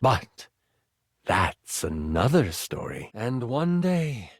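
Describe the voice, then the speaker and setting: An actor playing a warm-hearted dungeon master in a video game, narrating fantasy adventures theatrically with dramatic pauses. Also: male voice, mid-range voice, sing-song, creaky, dry recording, studio quality, full character voice. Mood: solemn, storytelling.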